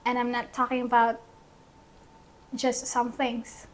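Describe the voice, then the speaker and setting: A woman co-hosting a vlog, explaining a numbered list in a deliberate way. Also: a little high, monotone, low-quality recording. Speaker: vlog co-host. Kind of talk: presenting a numbered list to camera.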